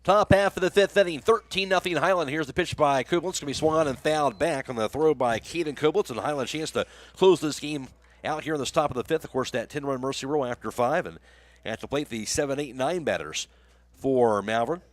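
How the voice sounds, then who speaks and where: A man's voice: fairly high pitch, brisk, no echo. A man with an American accent doing live radio play-by-play commentary of a baseball game as the announcer.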